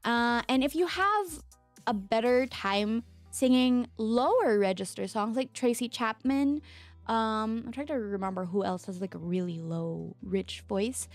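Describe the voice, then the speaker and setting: A young woman from the Philippines talks casually on a desk mic, rambling with fillers and frequent laughs as she answers her viewers. A lingering sore throat keeps her voice low. Faint music plays underneath. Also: measured pace. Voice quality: mezzo-soprano singing voice. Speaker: streamer. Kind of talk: casual chat with chat readers.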